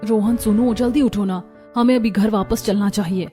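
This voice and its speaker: A woman's voice: mid-range voice, fairly even intonation, moderate pace, mellow, faint music behind, close to an everyday voice. A woman voicing a peevish young city-bred daughter-in-law in a Hindi cartoon story, complaining and pleading. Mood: anxious, pleading.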